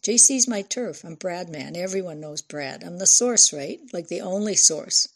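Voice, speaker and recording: A woman's voice: fairly low pitch, expressive, normal speed, vocal fry. A woman voicing a cocky, taunting young male biker in an audiobook, swaggering and slangy. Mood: boastful.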